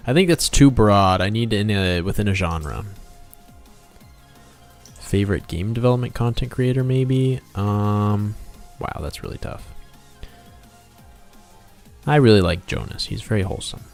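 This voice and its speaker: A man with a fairly low, slightly gravelly voice chats casually as a livestream host over music. He rambles playfully, half to himself and half to his viewers, in the easy style of a game developer working live. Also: unhurried, pauses often. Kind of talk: casual livestream chat while drawing, reading out viewer comments.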